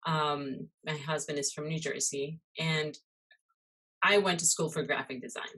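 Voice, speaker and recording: A low-pitched woman from Costa Rica talks through an interview in a relaxed, conversational way. She leans on fillers and loose asides as she tells her story. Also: a little slow, husky, rough recording. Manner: chatty, many fillers and "you know". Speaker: guest, muralist and illustrator. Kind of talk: casual interview chat.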